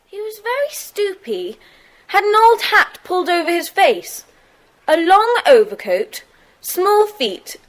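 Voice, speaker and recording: A frank young girl in a children's audio play. She chats in a high, lively voice, sounding observant and direct. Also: slow, exaggerated voice. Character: observant, frank schoolgirl in a secret club. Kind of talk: children's audio drama acting.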